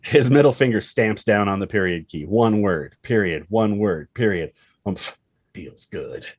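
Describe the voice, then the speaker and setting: A man's voice: on the low side, lively intonation, a little slow. A man reading screenplay stage directions as the narrator of a scripted table read. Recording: loud music under the voice. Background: loud instrumental music.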